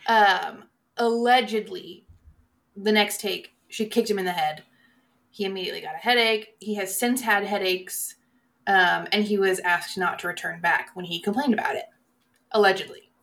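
A woman's voice: medium pitch, normal speed, gravelly, fairly bright tone. A woman co-hosting a podcast in casual chat, with dry, offhand humour. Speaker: co-host of a horror movie podcast.

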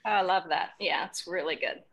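A woman's voice: on the low side, moderate pace, a bit bright, lo-fi sound. A woman hosting a casual music interview, asking questions and reacting with enthusiasm. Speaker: show host, interviewer.

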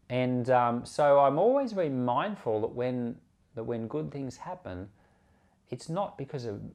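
A man gives an informal sermon as a podcast preacher. His mid-pitched voice swings freely up and down as he talks earnestly and conversationally, circling back to rephrase his points for emphasis. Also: a little mellow. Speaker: preacher and Bible podcast host. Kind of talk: informal sermon commentary on scripture.

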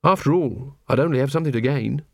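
A man voicing a sharp, guarded young woman of the city watch who speaks drily and sardonically. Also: medium pitch, fast, vocal fry, no echo, clean studio sound. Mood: wry.

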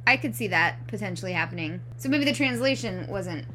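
A woman's voice: medium pitch, expressive, a little fast, fairly bright tone, a little hiss or hum, rough recording. A young woman co-hosting a casual true-crime podcast. She jokes sarcastically and laughs at her own puns. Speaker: podcast co-host.

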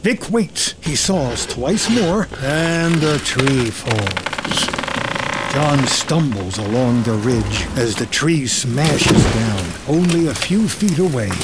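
A man's voice: mid-range voice, animated, takes it slowly, heavy background noise. A man reading scripted screenplay narration as the narrator of a drama.

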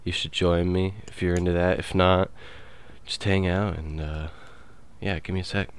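A man's voice: sits low, flat delivery, normal speed, clear, resonant tone. A man hosting his own podcast, talking in a laid-back, rambling way with loose fillers. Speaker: podcast host. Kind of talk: casual solo chat.

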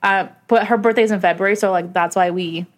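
A woman's voice: medium pitch, steady tone. Chatty woman in her twenties. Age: 20s. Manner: chatty, rambling, quick asides. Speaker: podcast co-host. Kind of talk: casual chat.

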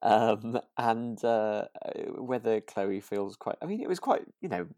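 A man's voice: fairly low pitch, animated, measured pace, a little mellow, no echo, clean studio sound. A man co-hosting a casual podcast about a radio drama, talking in a hesitant, rambling way. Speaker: podcast co-host.

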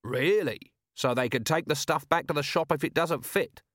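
A male narrator acts a sardonic commander of a fantasy city watch. He is self-assured and calm, needling people wryly in a slightly lifted voice. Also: a little high, normal speed, no room sound, studio quality, voice pushed up. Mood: mock puzzled.

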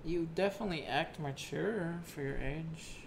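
A man's voice: fairly high pitch, normal speed, vocal fry, noisy background. A man in his early twenties bantering casually with his wife as co-host, laid-back and joking, a little hesitant.

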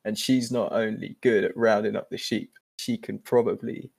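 A laid-back man trading jokes on a podcast, his voice heavily gravelly with plenty of fry. There's a husky, slightly breathy edge to it, and he tends to echo himself in easy agreement. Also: lowish voice, fairly even intonation, moderate pace, a little mellow. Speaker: podcast co-host. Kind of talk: casual joking chat.

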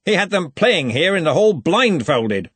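A man's voice: fairly high pitch, big pitch swings, moderate pace, fairly bright tone, no echo, voice pushed up. A man voicing a jovial, tall old university Arch-Chancellor in an audiobook, speaking in hearty, clipped phrases with a faintly threatening cheer. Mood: menacingly jovial.

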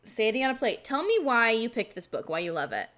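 A woman's voice: on the high side, sing-song, brisk, a bit bright. A woman hosting a podcast in casual, bookish chat, talking in a rambling, self-deprecating way and often saying "like.". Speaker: podcast host; library professional.

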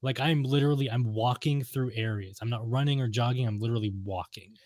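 A man's voice: mid-range voice, a little fast, a little mellow, dry recording, clean studio sound. A man co-hosting a casual podcast chat, rambling with enthusiasm and repeating himself for emphasis. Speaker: podcast co-host discussing video games and lore.

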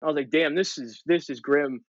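A man's voice: fairly high pitch, moderate pace, no room sound, muffled recording. A young man from Ohio, a comedian guest telling stories in casual podcast chat with lots of fillers and swearing. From Ohio, USA. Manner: rambling, many fillers, swearing.

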